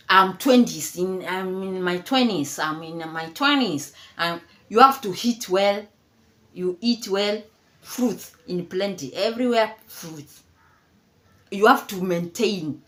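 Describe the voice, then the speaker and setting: A woman's voice: medium pitch, slow pace, fairly bright tone. A woman in her fifties from Kenya giving casual, mocking commentary, laughing often and repeating herself. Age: 50s. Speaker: commentary vlogger.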